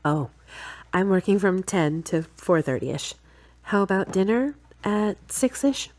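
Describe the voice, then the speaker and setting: A woman's voice: medium pitch, expressive, unhurried, a little mellow, close to an everyday voice. A woman playing a busy, plain-spoken working woman in an amateur audio drama. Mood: friendly.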